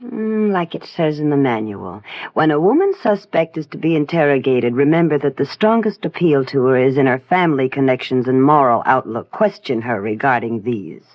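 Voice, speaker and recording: Cynical jailed woman, contemptuous, sardonic, swooping pitch, old radio drama, dull dry recording. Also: lively intonation, normal speed, hardly any pauses, no echo. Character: defiant, cynical, domineering young woman criminal in jail. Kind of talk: 1950s radio crime drama acting.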